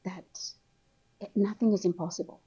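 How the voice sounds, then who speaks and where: A middle-aged woman from Somaliland, raised in Kenya and England, telling her story as an author guest in an animated, conversational way. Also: normal speed, slightly rough. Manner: animated storytelling, rises high when excited. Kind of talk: conversational interview. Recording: dull lo-fi sound.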